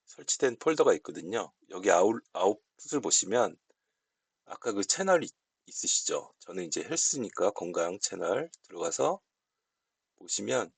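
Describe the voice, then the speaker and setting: Gravelly low-voiced man giving a tutorial. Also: sing-song, moderate pace, dry recording. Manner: polite, explanatory, step-by-step. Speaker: software tutorial presenter. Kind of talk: casual screen-recording walkthrough, unscripted.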